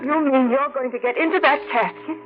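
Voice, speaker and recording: An actress playing an anxious older housekeeper in a 1940s radio drama. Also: female voice, high-pitched, brisk, close to an everyday voice. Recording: tinny hissy old radio broadcast.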